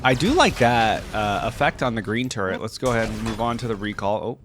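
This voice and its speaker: A man's voice: fairly low pitch, expressive, fairly quick, music in the background. A man giving casual reaction commentary as a video creator looking over game content.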